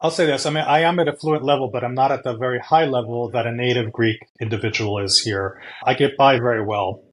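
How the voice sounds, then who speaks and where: A man from the United States answering podcast questions in a measured, articulate way. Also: mid-range voice, low-quality recording. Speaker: podcast guest. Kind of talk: interview answers.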